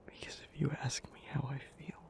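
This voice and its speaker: A man playing an anguished, devoted demon lover in an intimate audio roleplay, hushed and halting, with a breathy, gravelly voice. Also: whispered, natural voice. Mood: terrified, pleading. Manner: halting, hushed, emotionally heavy delivery.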